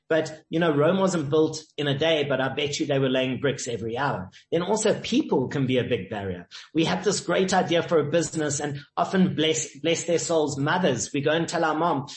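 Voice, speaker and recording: Male guest, upbeat and sure of himself, pitched a little high, roomy echo. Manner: confident, emphatic, uses sayings and stories. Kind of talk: motivational interview talk. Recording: echoey room.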